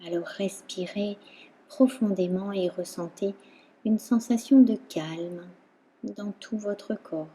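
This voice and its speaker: A woman instructor guiding a relaxation meditation in a calm, soothing manner, leaving long pauses between phrases. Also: mid-range voice, breathy edge. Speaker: yoga nidra instructor.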